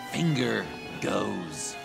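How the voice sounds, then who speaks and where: Gleeful unhinged clown villain, wildly swooping. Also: male voice, on the low side, very animated, drawn-out words, vocal fry, exaggerated voice. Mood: taunting, menacing. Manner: theatrical, taunting, swinging from hushed to shrieking. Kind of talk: video game voice acting. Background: music.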